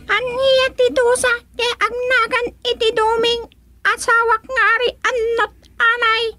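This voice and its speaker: Shrill, vengeful termite queen. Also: little pitch movement, unhurried, pushed and bright, no room sound, soft background music, heavily acted. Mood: stern, regal. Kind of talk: comedic radio drama acting.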